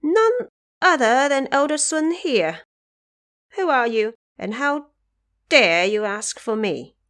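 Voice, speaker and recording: A woman's voice: sits high, sing-song, slow pace, a bit bright, voice pushed up, full character voice. A woman voicing a brash, boastful monkey warrior with a cocky, challenging manner in a fantasy audio drama. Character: brash, boastful monkey warrior calling himself great sage.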